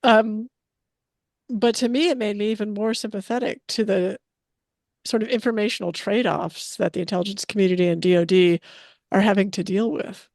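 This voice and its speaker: Podcast co-host, woman, fry in the voice, casual, thinking aloud with fillers. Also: mid-range voice, fairly even intonation, moderate pace, a little mellow, no room sound, clean studio sound. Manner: thoughtful, hesitant with fillers and restarts. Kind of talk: casual interview chat.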